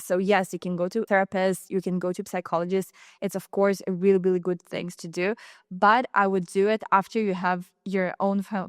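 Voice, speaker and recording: A woman's voice: mid-range voice, smooth, no room sound, studio quality. A young woman with an Israeli accent, talking casually and earnestly as a podcast host, rambling with many restarts. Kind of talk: casual solo podcast talk.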